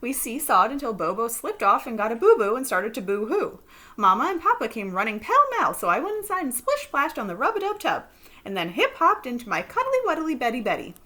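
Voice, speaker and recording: A woman's voice: high voice, bright, forceful tone, full character voice. A woman voicing an earnest little schoolgirl in a children's story, explaining herself in childlike rhyming words. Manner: childlike, sing-song rhyming baby words.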